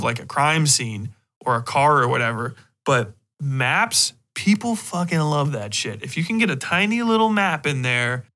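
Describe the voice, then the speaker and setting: A man with a bright, forceful voice talks as a podcast host. He rambles casually through his monologue in an animated way, swearing often and freely. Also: on the high side. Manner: rambling, animated, heavily profane.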